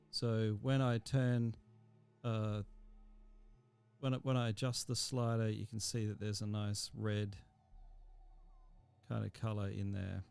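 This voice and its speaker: Man, teacher, screencast tutorial, informal step-by-step explaining, gravelly low-key mellow tone.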